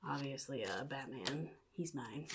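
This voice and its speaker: A woman playing a sly, chaotic supervillain in a soft-spoken ASMR roleplay, often dropping into a breathy whisper with a casual, menacing drawl. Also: rumbling low, expressive, unhurried, noisy background, heavily acted. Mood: sly, musing. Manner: casual drawl, offhand, slyly threatening.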